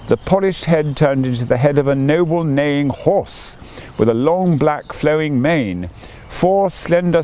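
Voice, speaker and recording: A man narrates a storybook in an expressive manner, with a mid-range voice that rises and falls a great deal. There is noticeable ambient noise behind his reading. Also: mid-range voice. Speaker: audiobook reader.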